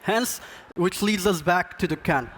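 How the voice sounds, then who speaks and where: A man from Sudan, a podcast co-host, giving an earnest, measured talk on an event stage. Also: high voice, a bit bright.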